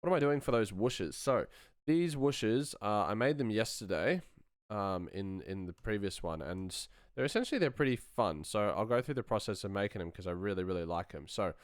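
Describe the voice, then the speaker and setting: A man's voice: fairly low pitch, lively intonation, normal speed, dry recording, clean studio sound. A man talking informally and enthusiastically on a livestream, thinking aloud as he works through sound design. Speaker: sound designer streaming a live work session. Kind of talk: casual livestream chat and tutorial.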